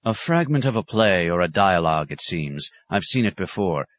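A man's voice: deep voice, big pitch swings, normal speed, fairly bright tone, dry recording. A man voicing an older male abbot who speaks sternly with biting sarcasm, in an audiobook.

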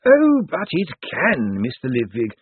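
A man voicing an ancient, dry, pedantic zombie lawyer who speaks slowly with long pauses. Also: mid-range voice, huge pitch swings, no echo, voice pushed up, exaggerated voice. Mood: dry, deliberate. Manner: slow, deliberate, drawn-out pauses. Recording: husky voice on a thin, phone-like, dry line.

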